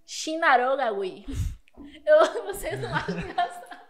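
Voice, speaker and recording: A woman's voice: sits high, big pitch swings, measured pace, crowd noise. A woman telling a childhood story in a casual podcast chat, laughing often. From Paraguay border region. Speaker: guest.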